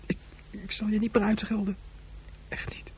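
A woman's voice: medium pitch, level tone, normal speed, a little mellow, a little hiss or hum, lightly acted, rough recording. A woman playing an earnest, hesitant, vulnerable young boy in a science fiction radio drama. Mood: timid, pleading.

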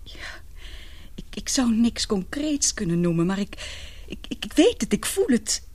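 Anguished, halting young woman. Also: on the high side, sing-song, a little mellow, whispered. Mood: worried, confiding. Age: young adult. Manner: halting, earnest, emotional. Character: anguished young woman in love, confiding in her father. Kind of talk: radio drama acting.

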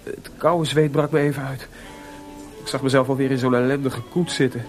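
A man's voice: medium pitch, fairly even intonation, normal speed, soft background music, lightly acted. A man playing Mozart as an anxious, lovesick composer who hesitates and stammers, in a Dutch radio play. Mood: unsettled. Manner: hesitant, stammering when unsettled.

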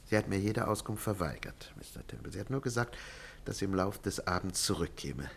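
Brief: troubled middle-aged physician, low voice, apologetic, halting, noisy vintage radio recording. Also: male voice, expressive, creaky. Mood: distressed. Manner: over-polite, troubled, halting. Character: worried middle-aged male doctor, fretful, apologetic father. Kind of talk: 1950s German radio play acting.

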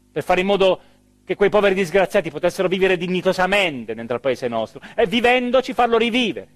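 An actor playing an embittered, abandoned small-town mayor in a theatrical monologue, with a southern Italian accent, moving emotionally between low murmurs and loud declaiming. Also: male voice, no echo. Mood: earnest. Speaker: stage actor.

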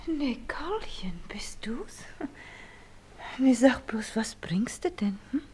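A bedridden, fretful wife in a radio play, with a high, husky voice that slips into breathy whispers. She laments in a plaintive, morbid way with a Silesian accent. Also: very animated, takes it slowly, warm tone, heavily acted. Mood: weakly affectionate. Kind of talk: naturalistic German radio drama acting.

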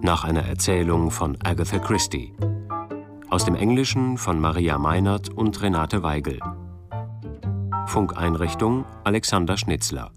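Radio drama announcer, male: deep, measured, formal credit delivery over guitar music. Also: lively intonation. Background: plucked guitar music.

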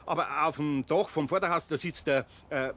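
A man's voice: a little high, moderate pace, noisy background, lightly acted. An actor playing a worried, good-hearted older craftsman with a Bavarian accent, grumbling and flustered in a radio play. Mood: flustered pleading. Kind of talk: German children's radio play acting.

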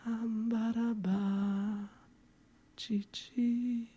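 A man voicing a grieving woman who murmurs a nursery rhyme obsessively, hushed and breathy, in drawn-out singsong. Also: high-pitched, little pitch movement, voice pushed up. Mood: eerie, murmuring. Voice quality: hushed, breathy, half-whispered. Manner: very slow, drawn-out singsong chanting.

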